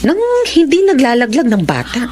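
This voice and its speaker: An actress playing a young, protective elder sister and devoted daughter, earnest and emotive in a radio drama. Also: female voice, on the high side, sing-song, normal speed, darkish tone. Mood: reassuring. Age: young adult.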